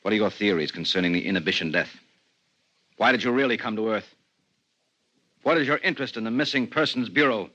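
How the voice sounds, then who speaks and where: An actor playing a hard-nosed, commanding investigator in a 1950s radio drama, with a brusque, interrogating manner. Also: male voice, fairly low pitch, a little fast, pauses often, bright, forceful tone. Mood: brusque, tense. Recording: dull 1950s radio recording.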